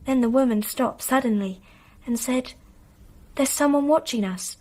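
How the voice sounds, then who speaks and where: A woman's voice: sits high, a little slow, a little mellow. An actress playing a dreamy, trusting young girl in a radio play, speaking with earnest simplicity. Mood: earnest, recounting.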